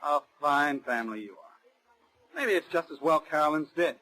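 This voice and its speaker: Bitter young suitor, brash and defiant, bright forceful voice, slightly high, vintage 1940s radio acting. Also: male voice, animated, moderate pace, low-quality recording. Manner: brash, resentful. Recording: restored 1940s radio drama recording.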